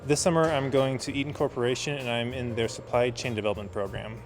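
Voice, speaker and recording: Fast-talking energetic man. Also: mid-range voice, soft background music. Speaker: MBA student. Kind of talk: interview answers.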